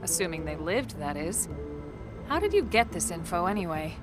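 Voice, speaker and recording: Shrewd commander, expressive pitch, music behind. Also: female voice. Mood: shrewd, calm. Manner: dry, measured, skeptical. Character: cool, shrewd, composed female squad commander. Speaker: voice actor in a game cutscene. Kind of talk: game cutscene acting.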